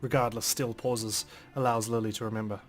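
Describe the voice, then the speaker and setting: A man's voice: mid-range voice, little pitch movement, brisk, soft background music. A man hosting a let's play, reading a visual novel aloud dramatically and commenting on it.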